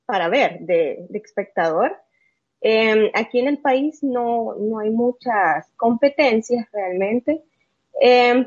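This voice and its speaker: A Salvadoran woman in her thirties answers interview questions casually. She speaks slowly and haltingly, in a slightly high voice. The recording is a bit dull and lo-fi. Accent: Salvadoran Spanish. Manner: halting, many hesitations and trailing phrases. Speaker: podcast guest, amateur bodybuilder.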